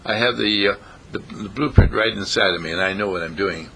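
A man's voice: on the low side, brisk, gravelly, fairly bright tone, a little hiss or hum, rough recording. A man voicing a proud, self-assured male caterpillar in a children's story, explaining patiently and boastfully.

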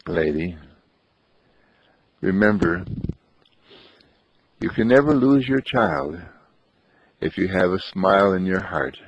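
A gentle, brave boy in a wheelchair, voiced by a man, speaking low, soft and very slowly in soothing reassurance. The voice is gravelly and husky, on a dull lo-fi recording. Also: slight background noise. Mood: earnest.

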